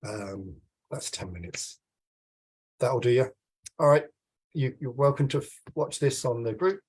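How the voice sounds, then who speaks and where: A British man in his forties, congested with a cold, gives an informal, explanatory coaching talk on a video call, with frequent pauses and a husky voice. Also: medium pitch, expressive, darkish tone. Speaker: swimming coach. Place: small room at home, indoors. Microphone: video call, computer mic.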